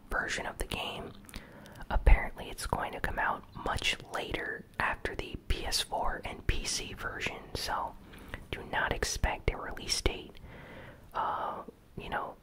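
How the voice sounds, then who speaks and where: A host whispering an ASMR news recap in a hushed, unhurried way, entirely without voicing. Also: poor audio. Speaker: host of a gaming news channel.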